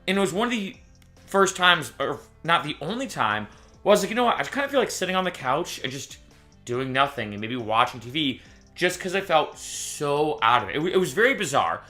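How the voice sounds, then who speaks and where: A young man chats to camera in a casual vlog, his voice bright and a little high for a man. He talks in an animated way and keeps stopping to restart or correct himself. Faint music plays underneath. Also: a little fast. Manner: chatty, restarts and self-corrections, animated retelling. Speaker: YouTuber.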